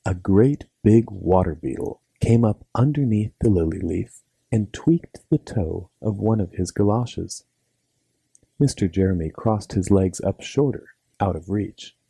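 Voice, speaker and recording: Male storyteller for children's bedtime tales: low, gravelly, scripted narration, recorded dry. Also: warm tone, no echo.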